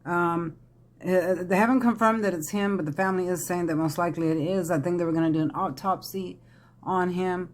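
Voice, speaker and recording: Raspy congested woman. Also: fairly low pitch, fairly even intonation, brisk. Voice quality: raspy, crackly voice. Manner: chatty, addresses viewers as y'all. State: congested from a head cold. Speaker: tarot reader. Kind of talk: casual psychic reading vlog.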